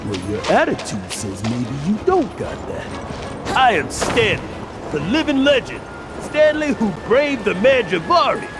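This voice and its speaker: A theatrical blowhard of an adventurer, high for a male voice and swinging wildly as he brags in drawn-out words. The anime game line rings in a long reverb over music. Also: huge pitch swings, full character voice. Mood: indignant bragging. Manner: pompous, bragging. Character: boastful, self-important legendary male adventurer, theatrical blowhard. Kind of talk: scripted anime game voice acting.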